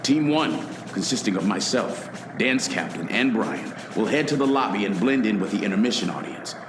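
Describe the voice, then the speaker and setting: An actor playing a stern general giving a commanding military briefing in a radio play. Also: male voice, fairly low pitch, expressive, moderate pace, reverberant, background noise, close to an everyday voice. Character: stern male general briefing his team of secret agents.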